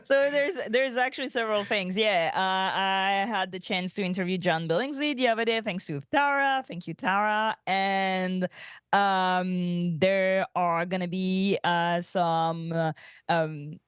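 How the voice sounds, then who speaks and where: A woman co-hosting a podcast, giving announcements in casual chat and searching for her words in a halting way. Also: mid-range voice, clean tone, no echo, clean studio sound. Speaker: podcast co-host.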